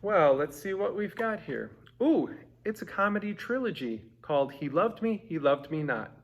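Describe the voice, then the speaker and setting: A man's voice: sits high, very animated, normal speed. A man hosting a community theater broadcast, introducing the play in a playful, chatty way. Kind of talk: casual scripted introduction. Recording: guitar music behind.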